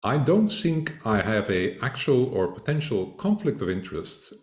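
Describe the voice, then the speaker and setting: An older man from Flanders, Belgium, giving a measured academic conference talk as a speaker. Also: mid-range voice, very animated, roomy sound. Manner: measured, deliberate lecturing.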